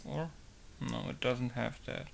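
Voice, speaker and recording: A game modder talks casually as he works, rambling and muttering quiet tests to himself. His voice is gravelly, with plenty of fry. A faint hiss sits under the recording. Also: mid-range voice, steady tone, slow pace. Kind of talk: casual talk while working.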